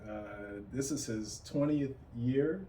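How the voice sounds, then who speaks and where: A man's voice: mid-range voice, slow pace, warm tone. A man hosting a casual podcast interview. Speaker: podcast host. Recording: roomy, distant mic, faint noise.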